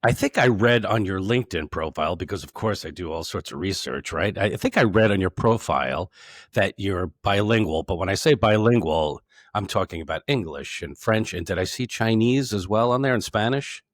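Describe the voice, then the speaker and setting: A man's voice: sits low, animated, normal speed, no room sound, studio quality. A man hosting an interview, who rambles and stacks several questions together before handing over. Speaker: podcast host. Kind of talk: interviewer asking open questions.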